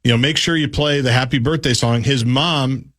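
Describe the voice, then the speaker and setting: A man's voice: medium pitch, normal speed, fairly bright tone. A male radio host in casual conversation, asking his guest questions with long, chatty setups.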